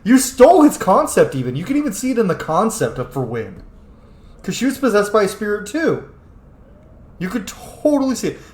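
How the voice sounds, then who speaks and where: A man doing casual game commentary as a let's-player, blunt, playful and sweary as he reacts aloud. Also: sits high. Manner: animated, blunt, profane, playful. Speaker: gaming streamer, let's-player. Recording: roomy echo, faint background noise.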